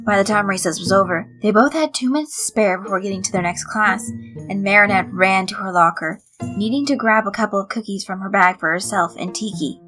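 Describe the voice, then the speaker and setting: A woman's voice: mid-range voice, a little fast, fairly bright tone, rough recording. A woman reading a scripted piece of fan fiction as a narrator. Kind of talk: scripted story narration with character voices. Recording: music under the voice. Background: background music.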